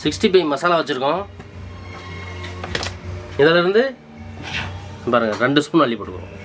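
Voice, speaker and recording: A man's voice: a little high, big pitch swings, moderate pace. A man hosting an informal cooking video, instructing step by step and pointing things out to viewers. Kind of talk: informal recipe demonstration. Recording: loud background music. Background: music.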